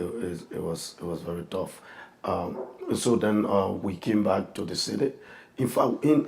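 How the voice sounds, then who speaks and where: A man in his fifties, a pastor, telling his life story in a halting, earnest way with many restarts. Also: sits low, vocal fry, a little mellow. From Liberia. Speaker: pastor, guest. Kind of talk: personal testimony in an interview.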